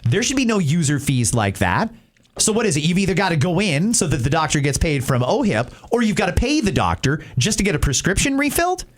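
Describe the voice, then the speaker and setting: A man's voice: on the high side, very animated, fairly quick. A man with a Canadian accent hosting talk radio, giving emphatic, plain-spoken opinions in a conversational way. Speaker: talk show host. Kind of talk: opinionated talk-radio commentary answering listener messages.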